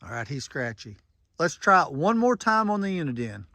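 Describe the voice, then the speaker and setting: A man's voice: a little high, very animated, moderate pace, very noisy. A man giving casual, plain-spoken running commentary and making radio check calls in a folksy way. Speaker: vlogger testing walkie-talkies.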